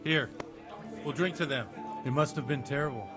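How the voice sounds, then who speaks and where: A man's voice: medium pitch, normal speed, over music, crowd noise, natural voice. Man playing a worldly, genial medieval priest. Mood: sympathetic. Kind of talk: video game cutscene dialogue.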